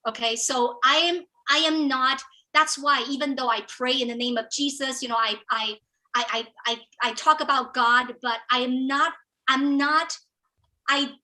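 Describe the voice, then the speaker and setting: A woman's voice: sits high, pushed and bright, rough recording. A middle-aged woman from Taiwan with a polished American-sounding English accent leads a motivational business training. She talks with enthusiasm and often repeats words as she starts a thought. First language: Chinese. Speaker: business coach hosting a training. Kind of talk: motivational live training talk.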